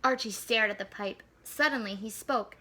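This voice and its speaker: Bright-voiced woman reading a children's story. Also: on the high side, normal speed. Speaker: school staff reader. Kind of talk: expressive children's read-aloud narration.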